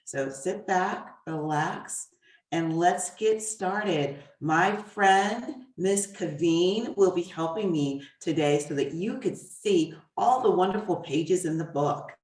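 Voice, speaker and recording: A woman reading a children's storybook as guest author, in a deliberate, expressive way with pauses. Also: fairly low pitch. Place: echoey room, away from the mic. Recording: roomy echo, distant mic.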